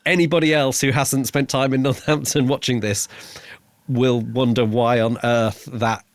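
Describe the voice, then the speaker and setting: A man's voice: mid-range voice, fairly even intonation, moderate pace, dry recording. A man co-hosting a podcast, explaining his points in short phrases during a casual book discussion. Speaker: podcast co-host reviewing books.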